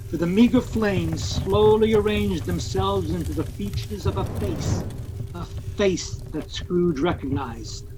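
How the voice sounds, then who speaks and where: An actor as the narrator of an audio play, telling the tale in a dramatic scripted storytelling style. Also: male voice, sits high, a little hiss or hum, rough recording. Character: male storyteller of a Christmas ghost tale.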